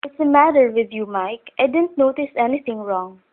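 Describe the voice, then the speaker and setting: Actress as a high-voiced, anxious hostage wife. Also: female voice, animated, normal speed, lightly acted. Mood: feigned normal. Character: frightened, protective wife held hostage, keeping up appearances. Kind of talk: radio thriller acting. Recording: thin, phone-like radio-play recording.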